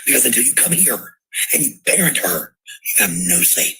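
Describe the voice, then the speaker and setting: A woman with a sharp, forceful edge to her voice, speaking to stream viewers and arguing at home. She is blunt and confrontational and swears freely. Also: extremely low pitch, big pitch swings, moderate pace, gravelly, breathy edge, rough recording. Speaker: livestreamer and parent. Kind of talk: heated argument and ranting to stream viewers.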